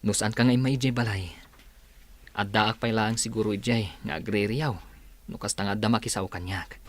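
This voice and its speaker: A man's voice: on the low side, steady tone, fairly quick, lightly acted. A man playing a grateful, good-humored patient in a radio drama. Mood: grateful, joking. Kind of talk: Ilocano radio drama acting.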